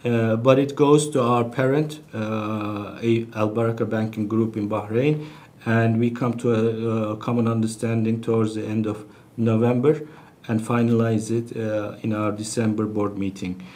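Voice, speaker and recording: Low, mellow-voiced man, halting business speaker. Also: little pitch movement. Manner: halting, hesitant delivery with long searching pauses. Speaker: bank executive presenting on an earnings call. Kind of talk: business presentation, unscripted financial briefing.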